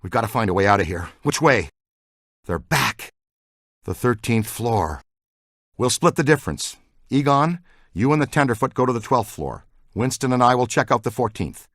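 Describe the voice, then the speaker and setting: A man's voice: medium pitch, animated, normal speed, fairly bright tone, no echo, clean studio sound. A man acting as an eager, talkative ghost-hunting character in a video game, earnest and full of technical jargon. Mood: concerned, focused. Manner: earnest, technical jargon, urgent call-outs.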